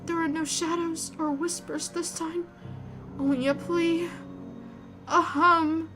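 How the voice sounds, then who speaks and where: A woman narrating a horror visual novel in the first person, reading with expressive, suspenseful delivery. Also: sits high.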